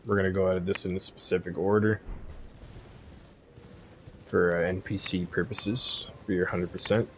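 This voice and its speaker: A man's voice: deep voice, little pitch movement, moderate pace, pauses often, a little hiss or hum. A man giving casual, laid-back video game walkthrough commentary in a conversational way. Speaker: gaming guide streamer. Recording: faint game ambience behind voice. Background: faint game ambience.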